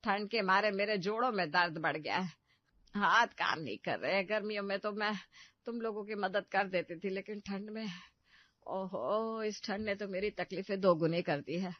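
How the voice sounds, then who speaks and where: A woman's voice: mid-range voice, normal speed, no echo, natural voice. A woman voicing a tired elderly mother-in-law in a cartoon, complaining about aches. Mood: weary. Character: weary elderly mother-in-law with aching joints. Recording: dull, muffled audio.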